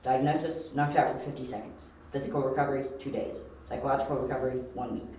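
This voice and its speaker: A man's voice: medium pitch, fairly even intonation, fast, warm tone, lightly acted. A very echoey, distant recording of a man acting as a young detective in an audio drama. He is brash and sure of himself. His delivery is dry and clipped, with a sardonic edge. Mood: focused, brisk. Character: confident, wry young male detective, analytical and brash. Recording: very echoey, distant, faint hiss.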